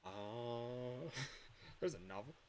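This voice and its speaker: Man, mid-pitched voice, casual livestream chat, hesitant and halting, game developer, noisy recording with keyboard clatter. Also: lively intonation. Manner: hesitant, halting, thinking aloud.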